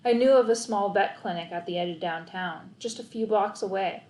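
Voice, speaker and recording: A woman's voice: medium pitch, moderate pace, darkish tone. Woman reading a story aloud, roomy echo. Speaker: read-aloud narrator. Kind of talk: expressive children's audiobook narration, first person.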